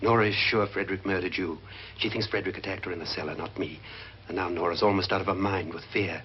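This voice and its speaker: An actor playing a self-assured murder plotter in a 1950s horror film, calm and conspiratorial. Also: male voice, low voice, fast talker, close to an everyday voice. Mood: smug, conspiratorial. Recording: dull, noisy old film soundtrack.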